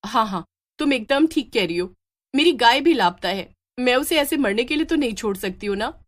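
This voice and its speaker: A woman's voice: a little high, animated, moderate pace. Woman playing a determined, insistent village mother. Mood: pleading. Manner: earnest, insistent, scolding.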